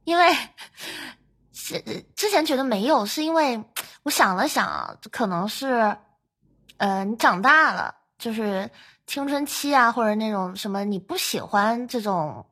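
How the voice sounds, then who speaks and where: A woman from northern China hosting a podcast, chatting casually in an expressive way full of little exclamations. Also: on the high side, a little slow. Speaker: podcast host. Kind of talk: casual chat.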